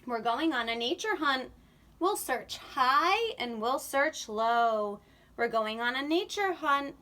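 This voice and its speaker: A woman reading a picture book aloud to young children in an animated, sing-song, expressive way. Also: sits high, slow, slight background noise.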